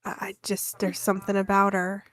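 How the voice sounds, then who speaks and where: A woman's voice: mid-range voice, monotone, brisk, a bit bright. A woman playing a tabletop roleplaying game, casually narrating and speaking for her character.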